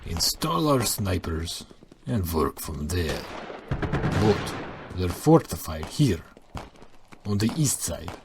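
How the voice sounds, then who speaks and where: A methodical militia lieutenant, acted by a man in an audio drama. He talks slowly and confidently in a low voice, with noisy gunfire effects behind him. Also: sing-song, exaggerated voice. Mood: tactical, focused. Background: gunfire, clicks.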